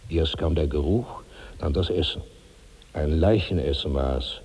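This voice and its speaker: Huge weary glutton in his 60s, dark husky voice, morbid radio play monologue, big echo. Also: extremely low pitch, a little slow, exaggerated voice. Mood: brooding disgust. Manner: brooding, morbid, weighty delivery. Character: Karnak, monstrous, weary 65-year-old giant glutton. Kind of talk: 1960s German radio play acting, declamatory monologue. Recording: long reverberant hall.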